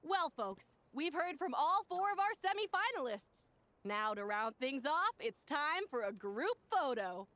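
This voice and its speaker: High, lively female championship announcer. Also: unhurried, no echo, exaggerated voice. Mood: upbeat. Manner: upbeat, presentational. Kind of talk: game voice acting as event host.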